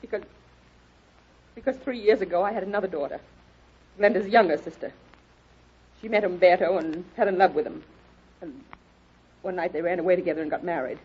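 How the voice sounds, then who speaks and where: A woman's voice: on the low side, fairly quick, pauses often, breathy edge. A woman playing a distraught, vengeful widowed mother with melodramatic delivery in a radio drama. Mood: grieving. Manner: wailing, melodramatic. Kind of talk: radio detective drama acting. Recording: dull, lo-fi radio drama recording.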